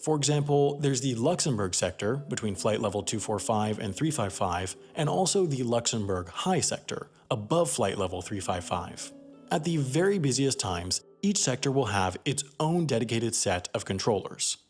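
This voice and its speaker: A man's voice: mid-range voice, expressive, moderate pace, darkish tone. A man narrating a scripted explainer documentary as its host, explaining things with emphatic phrasing. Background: background music.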